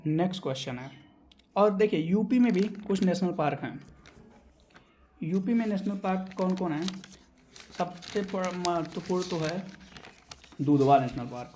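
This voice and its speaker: A man's voice: sits high, lively intonation, unhurried, pauses often, dark tone, slight background noise. A man teaching exam questions, explaining answers and repeating key terms so they stick.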